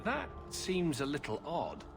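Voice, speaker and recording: A man's voice: fairly high pitch, huge pitch swings, measured pace, gravelly, music in the background, exaggerated voice. A man voicing a self-assured human adventurer in a fantasy game, with a dry, direct way of talking. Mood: wry.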